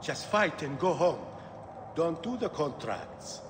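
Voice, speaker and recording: A man playing a weary, wary gladiator trainer, speaking in a halting, somber, cautioning way. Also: fairly high pitch, big pitch swings. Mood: grave, warning. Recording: reverberant hall, noisy background.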